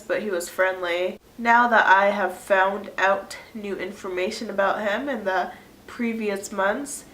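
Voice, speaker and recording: Stuffy-nosed young woman chatting in an echoey room. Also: on the high side. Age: early 20s. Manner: chatty, rambling, many "like" fillers. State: stuffy nose from a cold. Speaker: vlogger. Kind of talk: casual chat to camera.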